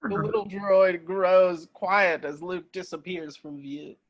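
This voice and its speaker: A man's voice: high-pitched, slow, muffled recording. A man reading stage directions as the narrator of an amateur screenplay table read.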